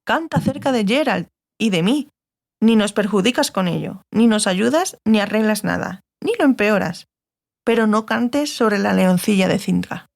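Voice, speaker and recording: An actress playing a stern, sardonic sorceress, curt and authoritative, in a dramatized fantasy audiobook. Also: female voice, mid-range voice, moderate pace, gravelly, a little mellow, lightly acted. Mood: stern, insistent. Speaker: audiobook actor.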